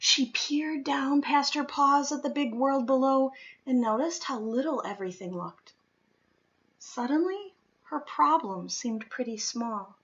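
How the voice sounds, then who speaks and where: A woman reading a children's picture book aloud in an expressive, dramatic way, leaning on key words. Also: high voice, highs cut off. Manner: dramatic, deliberate storytelling with stressed key words. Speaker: storybook reader.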